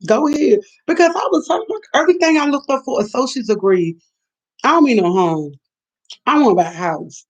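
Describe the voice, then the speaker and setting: A woman's voice: high voice, lively intonation, fairly quick. A woman, rambling through a late-night live chat and reacting to viewers' comments. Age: 50s. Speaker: livestream host. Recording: dull lo-fi sound.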